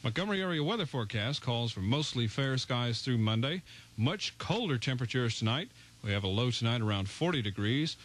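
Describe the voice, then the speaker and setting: A man's voice: medium pitch, animated, fairly quick, fairly bright tone. A young man working as a radio DJ, delivering 1970s top-40 patter and announcements in an upbeat, polished announcer style on an old aircheck tape. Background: music.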